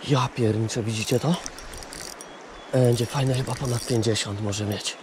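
A man with a Polish accent fishing from a riverbank, filming on a chest-mounted action camera and muttering excited, coaxing commentary. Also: medium pitch, normal speed. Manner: excited muttering, coaxing the fish with repeated words. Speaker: angler filming his catch. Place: outdoors on a riverbank. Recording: loud river and outdoor noise.

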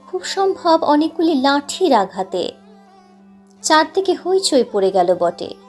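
A woman reading a scripted literary story as an audiobook narrator, with instrumental music behind her. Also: high voice. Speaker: channel host and story narrator.

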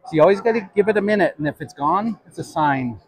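A middle-aged man with a husky voice chatting casually as he shops for a vlog. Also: sits high, expressive, quick pace, a little hiss or hum. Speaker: vlogger shopping at a swap meet. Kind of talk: casual chat. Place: large busy indoor swap meet hall.

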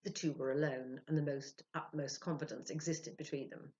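A woman in her sixties reading a story aloud as an expressive, theatrical storyteller. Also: sits low, normal speed. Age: 60s. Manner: theatrical, acting out dialogue. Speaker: storyteller, reader. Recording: dull lo-fi home recording.

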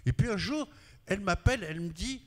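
A man's voice: animated, fairly quick. Older man recounting a story, reverberant hall. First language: Arabic. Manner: storytelling with shifts of pitch and loudness, gesturing. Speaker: speaker paying tribute, pediatrician. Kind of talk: personal anecdote at a panel. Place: large glass-walled conference hall. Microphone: handheld mic.

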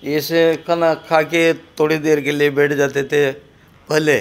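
A man's voice: fairly high pitch, monotone, takes it slowly, creaky. A middle-aged man, a longtime caretaker, explaining his daily duties in a simple, matter-of-fact way. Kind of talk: casual explaining. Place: bedroom of a house, indoors.

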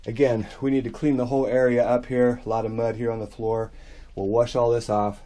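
A man's voice: mid-range voice, a little mellow. A middle-aged man, a drainage contractor, walking through a basement sump pump job as he works, in a plain, practical way. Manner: plain, practical explaining while working. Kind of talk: on-site how-to walkthrough. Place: dug-out basement crawl space. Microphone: head-mounted action camera.

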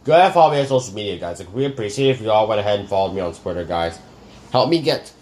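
A man's voice: lowish voice, lively intonation, rapid-fire, darkish tone. Rambling man saying "guys" nonstop. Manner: rambling, says "guys" constantly. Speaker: reaction channel host. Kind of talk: casual reaction commentary.

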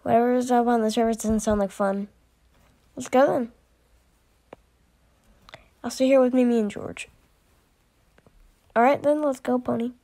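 A woman voicing amateur character dialogue for an animated story. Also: a little high, normal speed, long pauses. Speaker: creator voicing all characters of an animated series.

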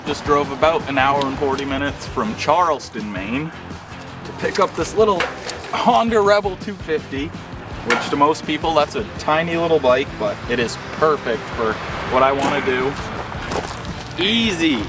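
A man narrating his own vlog outdoors, relaxed and upbeat as he tinkers with a small motorbike. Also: fairly high pitch, moderate pace. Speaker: guest vlogger. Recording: vlog audio with loud music underneath.

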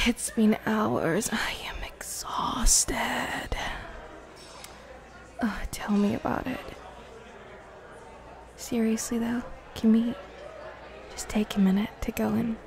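A woman voicing a devoted vampire girlfriend in an intimate ASMR roleplay, breathy and often slipping into a whisper. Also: on the high side, steady tone. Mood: weary, playful. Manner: slow, intimate, hushed, sighing. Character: devoted, lovestruck vampire bride, tipsy and intimate.

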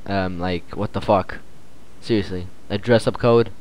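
A man from Canada talks slowly in a low-leaning voice over an online voice call. His talk is loose and laid-back, and he giggles easily. A faint hiss sits under the computer mic. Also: fairly even intonation, darkish tone, low-quality recording. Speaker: Skype call participant. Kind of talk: casual group chat.

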